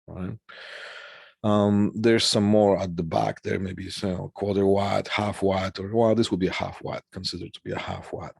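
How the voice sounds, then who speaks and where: A man teaching a technical lesson informally over slides, explaining haltingly and often checking that listeners follow. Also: lowish voice, darkish tone, dry recording, studio quality. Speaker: technical instructor.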